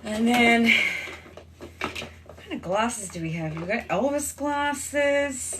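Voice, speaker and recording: A young Australian woman hosts a casual home live stream, chatting to viewers as she moves around her room. Her voice sits a little high for a woman, and her talk stays friendly and unhurried. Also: animated, background noise. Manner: chatty, greets viewers while changing costumes. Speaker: livestream host.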